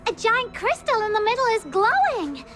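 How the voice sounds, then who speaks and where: An actress voicing a boastful, childlike self-styled demon king girl in a video game, theatrical and excitable. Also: very high-pitched, normal speed, over music. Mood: excited. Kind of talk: video game character acting.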